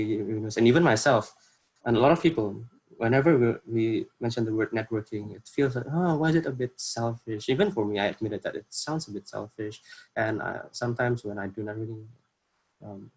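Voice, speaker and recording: A man's voice: lowish voice, breathy edge, rough recording. A young man from Indonesia with an Indonesian accent, giving an informal workshop talk over a video call in earnest, hesitant phrasing. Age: young adult. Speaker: workshop presenter.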